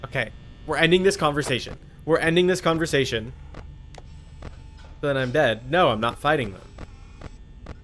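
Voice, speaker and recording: A man's voice: fairly high pitch, unhurried, long pauses, fairly bright tone, a little hiss or hum. A man chatting casually as he streams a game, with a blunt, sweary, argumentative way of talking. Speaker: livestream host. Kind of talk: casual gaming stream chat while playing a horror game.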